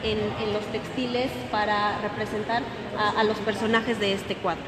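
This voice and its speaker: A woman's voice: mid-range voice, level tone, normal speed, over a noisy crowd. A woman with a Mexican Spanish accent leads a guided museum tour, explaining each artwork with frequent hesitations and restarts. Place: large echoing museum hall. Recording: echoey hall, loud background noise.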